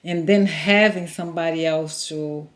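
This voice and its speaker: A woman's voice: low voice, fairly even intonation, unhurried. A Brazilian woman in her forties, Portuguese her first language, telling her story in English with learner's grammar and frequent laughs. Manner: earnest, laughs often, simple learner's grammar. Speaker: vlogger. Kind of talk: casual storytelling.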